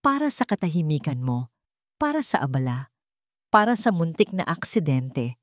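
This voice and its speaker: A woman's voice: low voice, big pitch swings, normal speed, no echo, studio quality. Woman playing a curt, cold, wealthy businessman. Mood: cold, impatient. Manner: clipped, cold, commanding. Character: curt, wealthy young male CEO, businesslike and impatient. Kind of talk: Tagalog radio drama storytelling.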